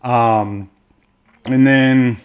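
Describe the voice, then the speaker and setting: A man co-hosting a casual film discussion, talking in a halting, rambling way. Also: medium pitch, steady tone, smooth, lo-fi sound. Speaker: podcast co-host reviewing films.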